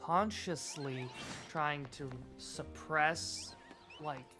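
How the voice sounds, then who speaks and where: A slow, deliberate American man with a slightly raised pitch, hosting a gaming stream in casual conversation. He enunciates each consonant with care and peppers his speech with "like", while music plays behind him. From United States. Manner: deliberate enunciation, frequent "like" fillers. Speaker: streamer host.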